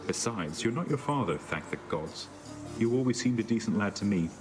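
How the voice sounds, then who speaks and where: A man as a low-voiced, affable condemned soul. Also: lively intonation, fairly quick, close to an everyday voice. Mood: cheerful. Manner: easygoing, folksy, friendly. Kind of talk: video game voice acting. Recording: music under the voice.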